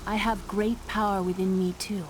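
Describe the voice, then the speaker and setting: A woman's voice: medium pitch, flat delivery, moderate pace, noisy background, lightly acted. An actress playing an earnest, curious young woman in a fantasy video game. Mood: confiding. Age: young adult.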